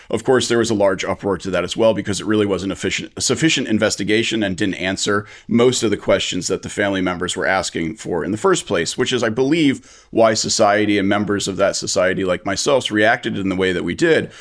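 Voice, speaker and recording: Fast-talking, impassioned American man. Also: fairly low pitch. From United States. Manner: passionate, blunt, rapid with restarts. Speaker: interview guest, veteran and media maker. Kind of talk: candid interview answers.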